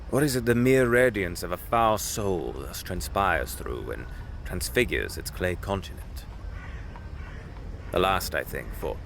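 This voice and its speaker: A man acting as a proper Victorian lawyer in a theatrical audio drama. His fairly low voice swings widely in pitch as he speaks with formal politeness and troubled, wary earnestness. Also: gravelly, fairly bright tone, a little hiss or hum, full character voice.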